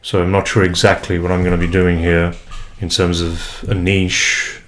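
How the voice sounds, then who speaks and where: Man, young, from Brazil, Portuguese first language, very low voice, halting and thoughtful, home desk mic. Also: little pitch movement, a bit bright. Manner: hesitant, many fillers and restarts, reflective. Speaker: English tutor and vlogger. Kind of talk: casual first vlog introduction. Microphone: USB desk mic, unprocessed.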